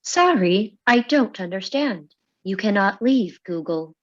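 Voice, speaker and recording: A woman voicing a megalomaniac smart speaker in an animated comedy, formal and grandiose. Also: mid-range voice, slow pace, muffled recording. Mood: grandiose, menacing. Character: megalomaniac smart speaker declaring itself an eternal god. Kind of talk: animated comedy table read.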